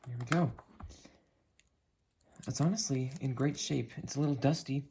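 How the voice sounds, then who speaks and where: A medium-pitched host presents a gadget in a casual, chatty way, talking while handling it. The home recording is indoors, with some noise and clicks behind the voice. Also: moderate pace, gravelly, dark tone. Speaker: host of a gadget review video. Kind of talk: casual hands-on product review.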